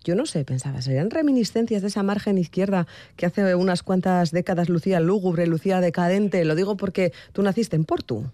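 An interviewer asking a writer about the book, attentive and quick with short agreements. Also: mid-range voice, mellow, no room sound. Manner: attentive, frequent short backchannels. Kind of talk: radio interview.

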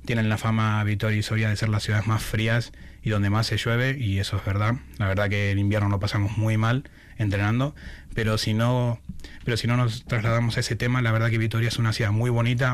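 A young man from Argentina speaking casually as an athlete being interviewed. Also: on the low side. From Buenos Aires, Argentina. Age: young adult, about 19. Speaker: athlete guest, shot putter.

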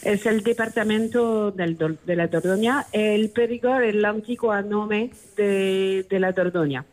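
A woman's voice: medium pitch, measured pace, a little mellow. A woman with a French accent, a native French speaker, answering interview questions hesitantly while she searches for words. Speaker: tourism office guest. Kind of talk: interview answers in a second language.

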